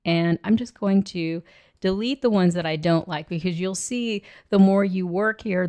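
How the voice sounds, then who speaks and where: A woman with a cold and a hoarse voice, talking through a screen-recorded software tutorial and thinking aloud as she clicks. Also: lowish voice. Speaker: illustrator teaching software.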